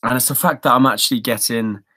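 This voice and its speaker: A man's voice: mid-range voice, steady tone, quick pace, pushed and bright. A man chatting casually as a podcast co-host, rambling and thinking aloud as he discusses fantasy football.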